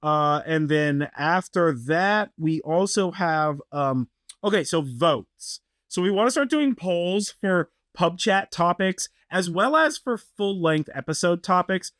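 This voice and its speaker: A man's voice: high voice, animated, slow pace, a bit bright, dry recording, studio quality. A man co-hosting a podcast, chatting casually and rambling with lots of fillers and restarts. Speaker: podcast co-host. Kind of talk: casual chat.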